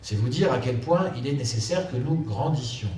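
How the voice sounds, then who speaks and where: A man giving a political speech as a local elected official, measured and emphatic, repeating key phrases for stress. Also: medium pitch, expressive, a little mellow. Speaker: local elected official addressing a town council. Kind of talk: political speech at a council meeting. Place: council chamber, indoors. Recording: echoey room, distant mic, faint background noise.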